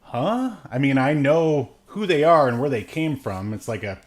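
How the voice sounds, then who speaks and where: A man co-hosting a podcast, talking casually and haltingly about entertainment news, often chuckling mid-sentence. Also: mid-range voice, lively intonation. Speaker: podcast co-host. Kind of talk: casual chat, movie news commentary.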